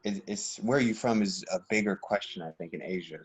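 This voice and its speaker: A young American man talking as a podcast guest in a relaxed, reflective way, with fillers and restarts. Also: lowish voice, brisk, husky. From United States. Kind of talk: casual conversation. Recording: dull lo-fi sound.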